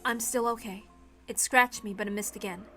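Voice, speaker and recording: A woman voicing a solemn dying mother saying farewell in a quiet, halting, earnest way, in a dramatic reading. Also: mid-range voice, steady tone, soft background music, voice pushed down. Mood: resigned farewell.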